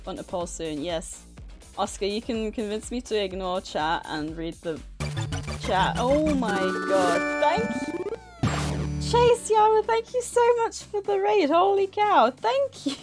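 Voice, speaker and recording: A woman's voice: a little high, big pitch swings, measured pace, a little mellow, faint music behind. A woman livestreamer chatting casually with her viewers in a flustered, nervous, giggly and effusively thankful manner. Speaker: livestreamer and author hosting a stream. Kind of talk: casual live chat with viewers. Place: livestream, indoors at a computer.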